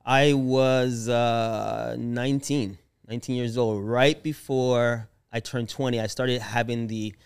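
Smooth-voiced man in his thirties. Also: medium pitch, slow pace, few pauses. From Jamaica. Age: 30s. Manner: animated, laughs often, expressive storyteller. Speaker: guest, real estate investor. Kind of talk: casual interview storytelling.

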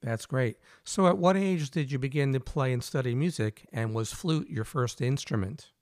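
A man's voice: mid-range voice, moderate pace, a little mellow, no room sound. Guy hosting an interview, upbeat and encouraging, mid voice, dry close sound. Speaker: podcast host.

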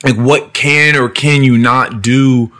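A man hosting a relaxed podcast chat. His pitch sits mid-range for a man, and his delivery is loose and sprinkled with swearing. He slips into other people's voices when he quotes them. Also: slow pace. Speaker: podcast host. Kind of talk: casual podcast conversation.

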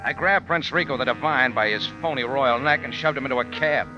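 A tough, wry hardboiled hero, played by a man on a dull, band-limited vintage radio recording with music behind. He talks quickly in terse slang, in an animated voice. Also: mid-range voice, lightly acted. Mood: brisk narrating. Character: tough, wry American-style hardboiled bar owner hero. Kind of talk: hardboiled radio drama narration and dialogue.

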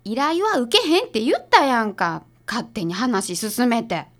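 A woman playing a bossy, prickly detective's assistant in a radio drama, brisk and huffy, with a Kansai accent. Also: fairly high pitch, big pitch swings, a bit bright. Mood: curt, huffy.

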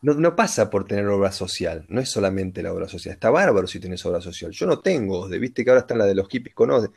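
A man's voice: lowish voice, lively intonation, fast talker, vocal fry, darkish tone, slightly rough. A man with an Argentine Rioplatense accent, talking reflectively and philosophically in a casual conversation.

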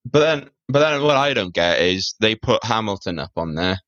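A man's voice: fairly low pitch, expressive, normal speed, fairly bright tone, no room sound. A young man co-hosting a podcast, chatting casually and rambling with lots of fillers. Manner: rambling, filler-heavy, opinionated. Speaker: podcast co-host. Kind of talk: casual chat. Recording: dull, dry sound.

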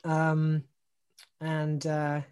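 A man's voice: on the high side, extremely slow, clean tone. Chatty man, laid-back. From Newcastle upon Tyne, England. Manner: relaxed, laughs often, many ums and restarts. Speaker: singer-songwriter guest. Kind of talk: casual interview chat.

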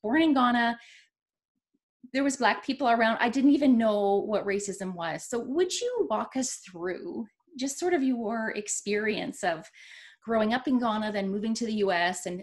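A woman hosts an interview podcast, her voice sitting at an ordinary middle pitch. She talks earnestly and loosely, restarting sentences and leaning on fillers as she sets up her questions. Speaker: podcast host. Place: rural region of Ontario, Canada.

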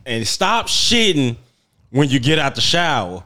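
A man's voice: medium pitch, big pitch swings, measured pace, bright, forceful tone. A man in a casual chat with friends, exclaiming, swearing and repeating himself as a podcast panelist.

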